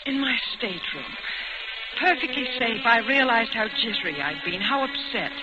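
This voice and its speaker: A woman's voice: on the high side, expressive, moderate pace, close to an everyday voice. An actress playing a well-mannered, nervous young woman traveller in an old radio suspense drama, carefully spoken and hesitant. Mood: uneasy, reflective. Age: young adult. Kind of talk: 1940s radio acting, first-person suspense narration and dialogue. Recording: muffled old radio broadcast with music.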